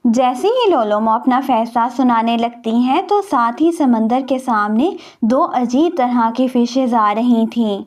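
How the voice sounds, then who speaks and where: A woman's voice: fairly high pitch, lively intonation, measured pace, few pauses, a little mellow. A woman narrating a scripted cartoon story for children.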